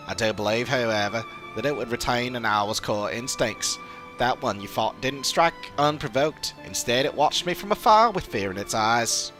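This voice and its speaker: A man voicing a courteous, scholarly old father in a fantasy game, speaking in a formal, measured, old-fashioned way. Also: medium pitch, animated, fairly bright tone, soft background music, close to an everyday voice. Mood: thoughtful. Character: courteous old father, scholarly theorist recovering from long illness. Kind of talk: fantasy game dialogue read aloud.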